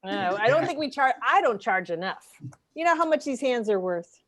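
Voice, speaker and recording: A woman's voice: a little high, very animated, normal speed, fairly bright tone, slight background noise. A woman playing a fretful, melodramatic woman in an improvised comedy parody. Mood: wry, hesitant.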